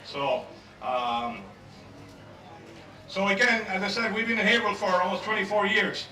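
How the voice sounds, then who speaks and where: A middle-aged Irish man, a native Gaelic speaker, demonstrating a dish live as a chef and pub owner, talking in a relaxed, rambling way with many fillers. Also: high voice, moderate pace. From Ireland. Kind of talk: live cooking demo at a festival. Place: outdoor festival stage. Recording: echoey outdoor stage mic from far off, crowd noise.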